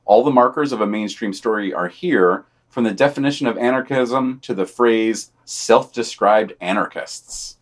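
Male podcast co-host, slightly low pitch, wry delivery of scripted news commentary. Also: moderate pace, hardly any pauses. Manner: wry, sardonic.

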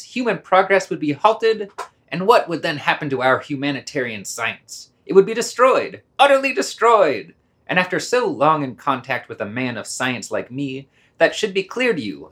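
A pompous, hypocritical scientist master, acted by a man in an audio play. He scolds with theatrical indignation, his pitch swinging up and down. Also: very animated, normal speed, fairly bright tone. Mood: pompous, exasperated. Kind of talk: amateur radio play reading.

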